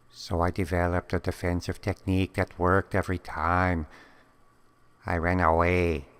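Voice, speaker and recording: Cartoon cat revolutionary, female, played by a man: grandiose and solemn, low-pitched, very clean smooth tone, deadpan monologue delivery. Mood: grave, aggrieved. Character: scheming, self-important female house cat revolutionary. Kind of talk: scripted comic monologue. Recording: long cavernous reverb.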